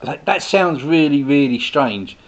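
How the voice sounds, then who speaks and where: A man's voice: a little high, lively intonation, unhurried, highs cut off. A man, talking casually to viewers in a rambling, chatty way full of restarts and asides. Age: 60s. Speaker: host, direct sales business owner.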